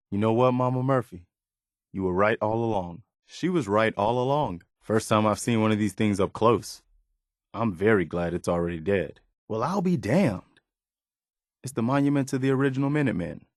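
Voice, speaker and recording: An actor playing an earnest, principled and loyal soldier in a video game, speaking in a sincere, plain-spoken way. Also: male voice, on the low side, dry recording, clean studio sound, close to an everyday voice. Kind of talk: video game companion voice lines.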